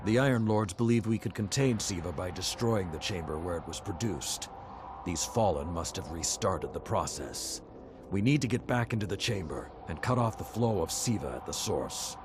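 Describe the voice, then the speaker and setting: A deep, grave old warrior lord. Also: male voice, expressive, noisy background. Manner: slow, solemn, formal. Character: stern veteran warrior lord, grave and commanding.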